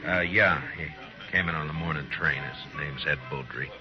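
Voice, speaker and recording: A stern, tired frontier marshal in an old radio Western. His voice is extremely deep, his delivery terse and authoritative. The recording is muffled, with music behind it. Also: normal speed. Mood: businesslike. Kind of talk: 1950s Western radio acting.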